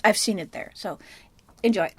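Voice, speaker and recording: A woman with a Dutch accent giving a casual film review, speaking haltingly as she thinks aloud. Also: mid-range voice, husky, no echo. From Netherlands.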